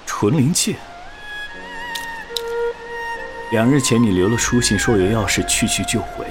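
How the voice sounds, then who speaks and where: A man's voice: medium pitch, huge pitch swings, fairly quick. An actor playing a stern but caring male immortal in a costume fantasy drama, with a protective, scolding manner. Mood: reproachful. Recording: music under the dialogue.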